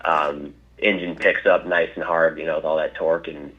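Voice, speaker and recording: Low-voiced man, hesitant seller on a muffled phone line. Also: little pitch movement. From Chicago area, USA. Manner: hesitant, halting, many restarts. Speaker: caller selling a car. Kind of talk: phone conversation. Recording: dull phone-call audio.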